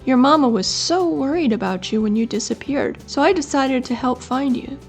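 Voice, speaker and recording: A woman's voice: high voice, moderate pace, darkish tone, soft background music, voice pushed up. A woman voicing a kind, brave young female pig in a storybook, earnest and eager.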